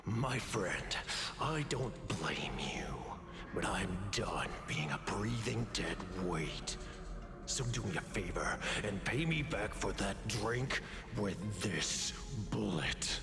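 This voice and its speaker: A man playing a despairing dying character in a video game, halting and pleading. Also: fairly low pitch, lively intonation. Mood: resigned. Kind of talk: dramatic video game voice acting. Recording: echoey, music behind.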